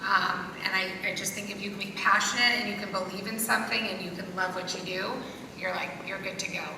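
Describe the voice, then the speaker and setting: A woman's voice: little pitch movement, a little fast. A middle-aged woman talking informally as a panelist in front of a theater audience. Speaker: panelist, social worker. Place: school theater stage, seated at panel table. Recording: echoey hall, far off, noisy rumble.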